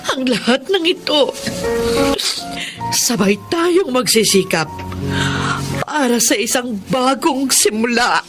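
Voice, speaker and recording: An actress playing a long-suffering, devout but firm Filipino mother in a radio drama, speaking with expressive emotion. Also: high-pitched, slow pace, pauses often, loud music behind the voice. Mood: tender, devout.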